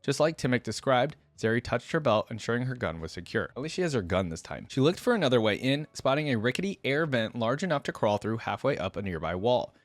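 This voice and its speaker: A man's voice: mid-range voice, sing-song, quick pace, few pauses, dry recording. A man reacting as he reads a story aloud, emphatic in delivery, dropping in casual commentary. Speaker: host of a reaction channel.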